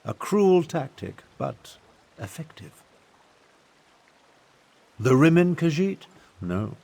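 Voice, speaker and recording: A man's voice: fairly high pitch, very animated, takes it slowly, gravelly, dark tone, full character voice. A man playing an eloquent, indignant royal half-brother in a video game, speaking in a formal, articulate, theatrical manner. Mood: bitter, indignant. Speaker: guide. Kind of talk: scripted video game dialogue.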